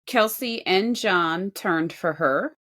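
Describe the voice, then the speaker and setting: Lower-pitched female podcast co-host. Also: slow, a bit bright. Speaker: podcast co-host, vocal critic. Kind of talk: casual music reaction commentary.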